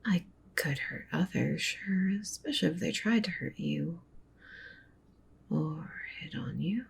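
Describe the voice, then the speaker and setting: Casting: yandere wolf-witch girlfriend, played by a woman, ASMR roleplay. Lowish, creaky, whispery; doting, possessive, gently bossy. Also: a little slow, slight background noise. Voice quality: soft, breathy whisper mixed with low murmur. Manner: coaxing, pet names, softly commanding.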